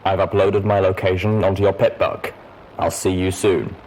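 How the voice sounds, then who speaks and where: A man voicing a toneless, mechanical mystery figure speaking through a robot, terse and flat. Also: deep voice, moderate pace, slight background noise, lightly acted, poor audio. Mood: flat, cold.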